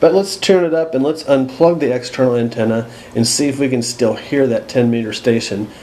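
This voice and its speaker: Casting: American male demonstrator, unscripted and explanatory, medium pitch, indoor recording with noticeable noise behind. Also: brisk, dark tone, rough recording. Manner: plain-spoken, explanatory. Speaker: host demonstrating a radio. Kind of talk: casual unscripted product walkthrough. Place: indoors at home.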